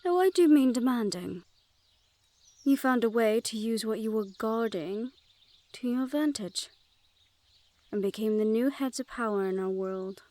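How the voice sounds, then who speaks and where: Casting: woman to play haughty female Fae sentry for fantasy audio roleplay, higher-pitched voice, playful, sardonic, guarded. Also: lively intonation, measured pace, pauses often, a little mellow, no echo. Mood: wry, resentful. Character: teasing, wary Fae woman guarding her forest clan's border.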